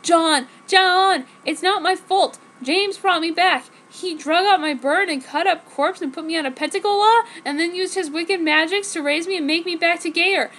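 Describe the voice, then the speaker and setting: A woman's voice: very high-pitched, normal speed, hardly any pauses, fairly bright tone, voice pushed up. A woman voicing a tormented young male vampire in a fanfiction story, pleading in a plaintive, earnest way.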